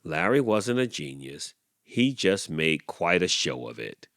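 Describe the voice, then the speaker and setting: A man voicing a motherly female goose who gently soothes and reassures a shy rooster in a storybook reading. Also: low voice, sing-song, measured pace, no echo, studio quality, voice pushed down, exaggerated voice. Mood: soothing.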